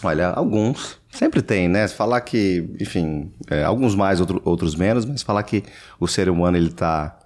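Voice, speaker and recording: A man talking as a guest in a casual interview, relaxed, with many fillers and pauses. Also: deep voice. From Brazil.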